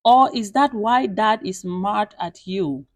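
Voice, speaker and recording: A woman's voice: mid-range voice, takes it slowly, lightly acted. A woman voicing a perceptive young boy in a story, questioning his mother in a probing, suspicious way.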